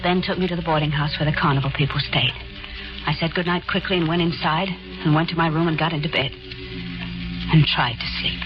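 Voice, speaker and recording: A woman's voice: deep voice, fairly quick, loud music behind the voice, natural voice. An actress playing a frightened young woman, narrating tensely in a 1940s radio drama, with a fearful, breathless manner. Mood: uneasy. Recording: dull old radio broadcast.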